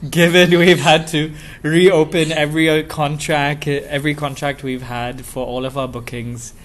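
A man's voice: on the high side, flat delivery, measured pace, a bit bright. A young man from Dubai, a podcast guest talking casually about himself, with many fillers and chuckles. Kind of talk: casual interview chat.

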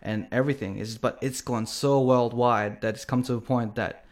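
A man's voice: medium pitch, fairly even intonation, moderate pace. Hesitant man in casual chat. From Australia. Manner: hesitant, many fillers. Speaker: podcast co-host and musician.

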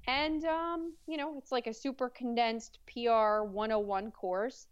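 A woman with a deep voice talks in a relaxed, chatty way, with easy fillers and a light laugh now and then. Her tone is smooth and resonant, and she is recorded dry and close. The sound is a little dull, as on a modest podcast setup. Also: a little slow, no room sound. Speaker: PR agency owner, guest. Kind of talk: casual interview.

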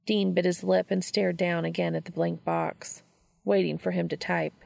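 Woman reading an audiobook, kinda low creaky voice, really dry close sound. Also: level tone, normal speed, a little mellow, no room sound. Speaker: audiobook reader of fan fiction. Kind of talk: scripted story narration.

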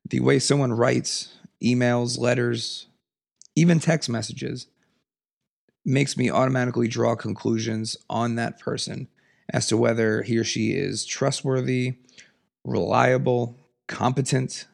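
A man hosting a solo podcast, raspy from a cold, talking in an earnest, emphatic, passionate way. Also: fairly even intonation, a little slow. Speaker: podcast host. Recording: lower audio quality.

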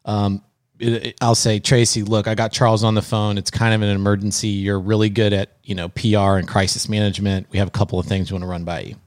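A man's voice: lowish voice, little pitch movement, fast talker, dry recording, studio quality. A man co-hosting a podcast, chatting casually and making prank calls. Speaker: podcast host.

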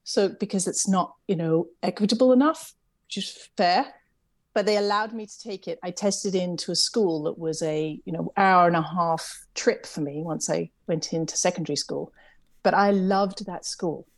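A middle-aged woman from England with an English accent softened by years in America. She talks in a chatty, reflective way and laughs lightly at herself as she tells her story in an interview. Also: fairly low pitch, normal speed. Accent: English, with some American influence. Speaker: interview guest, camp CEO. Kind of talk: conversational interview.

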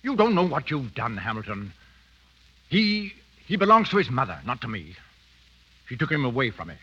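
Rigid aging army colonel, clipped orders, lively pitch swings, vintage radio drama, lo-fi. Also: male voice, moderate pace, husky, exaggerated voice. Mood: indignant, bitter. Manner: clipped, curt, commanding. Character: stern, rigid older British colonel near retirement. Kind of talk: 1930s radio drama acting. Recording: dull vintage 1930s radio recording.

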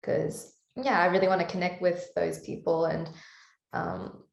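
A woman with a Canadian-sounding accent talks easily through an interview, her voice sitting on the low side with a gravelly, fry-edged texture. She laughs lightly between thoughts. The recording carries a noticeable roomy echo. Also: little pitch movement, measured pace. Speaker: singer-songwriter guest. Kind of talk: casual interview chat.

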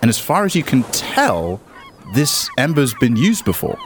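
A man running a tabletop game as dungeon master, unscripted, jokey and quick to laugh between bits of narration. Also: medium pitch, huge pitch swings, background noise.

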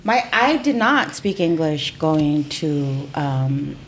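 A woman's voice: low voice, big pitch swings, slow, a little hiss or hum. A woman who grew up speaking Spanish at home, hosting a podcast in a chatty, encouraging way with many affirmations. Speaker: podcast host, employment attorney. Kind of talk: conversational interview.

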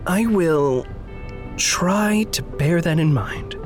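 An earnest, stubborn idealist in a naturalistic audio drama. His voice sits fairly high and moves in lively swings, with careful formal wording and emphatic halts, over faint music. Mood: exasperated, insistent. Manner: precise, formal wording, halting emphatic phrasing. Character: earnest, stubborn idealistic man going undercover at a company.

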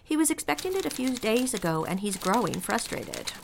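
Woman playing a brisk, creaky-voiced office secretary, noisy background. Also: fairly high pitch, sing-song. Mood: businesslike, concerned. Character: brisk, candid 1950s-style female office secretary on the phone. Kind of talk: scripted audio drama dialogue. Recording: clear rustling, clicking and typing noise.

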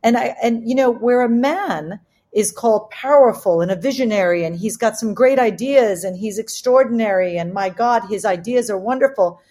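A woman's voice: fairly high pitch, normal speed, mellow. A woman speaking candidly as a company co-founder on a panel, motivational and emphatic, repeating phrases to drive points home. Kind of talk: candid discussion, motivational business talk.

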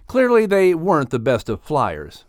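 A man narrating a children's audiobook in an expressive scripted storytelling style. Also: fairly high pitch, measured pace, no echo, studio quality.

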